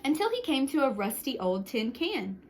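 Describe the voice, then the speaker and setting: A woman reading a picture book aloud to young children, expressively, with short hushed asides to the listeners. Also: sits high, moderate pace, noisy background. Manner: expressive, with hushed whispered sound words and asides. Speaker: storytime reader for young children.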